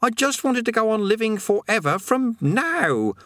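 A man voicing a demanding, whiny teenage boy in an audiobook reading, his voice pushed high and strained. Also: sing-song, normal speed, a bit bright, no room sound, studio quality. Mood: frantic whining. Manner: petulant, pleading, high and strained. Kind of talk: audiobook character voice.

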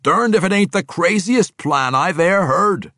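A roaring, boisterous dwarf warrior. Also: male voice, high voice, animated, measured pace, bright, forceful tone, dry recording, clean studio sound, pitched above the performer's own voice, full character voice. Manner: roaring, laughing, folksy dialect. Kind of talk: audiobook character voice.

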